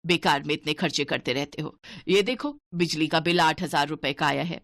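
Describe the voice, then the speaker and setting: A woman's voice: low-pitched, moderate pace, no echo, clean studio sound, voice pushed down. A woman voicing a stingy, scolding older mother-in-law in a cartoon story. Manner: bossy, penny-pinching, sharp-tongued.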